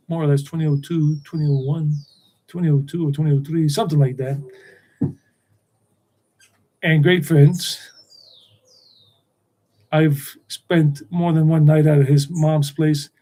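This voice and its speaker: A man's voice: fairly high pitch, dark tone, poor audio. A middle-aged man hosting a live stream, rambling and repeating himself as he talks. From United States. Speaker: tour guide and channel host.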